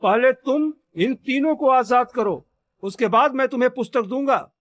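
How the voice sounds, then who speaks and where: A man's voice: extremely high pitch, normal speed, a bit bright. A man voicing a brave, kind-hearted young hero in a cartoon story, earnest and emphatic in his delivery. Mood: defiant. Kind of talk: Hindi cartoon story voice acting.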